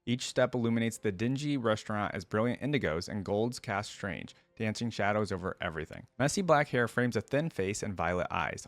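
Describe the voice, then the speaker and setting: A man's voice: fairly low pitch, no echo. A man hosting a reaction video, reading a story aloud and adding casual, musing commentary as he thinks aloud.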